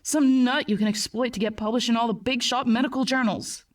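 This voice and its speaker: A woman's voice: a little high, fairly even intonation, a little fast, lightly acted. Woman acting a tormented young man, distant-sounding. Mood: angry, agitated. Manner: tight, bitter, swearing, struggling for composure. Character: agitated young male psychiatric patient, tormented and afraid. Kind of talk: acted horror story dialogue.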